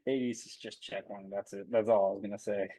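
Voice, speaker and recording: A man's voice: on the low side, flat delivery, brisk, warm tone, dry recording. A man playing a tabletop roleplaying game, bantering casually and speaking for his character.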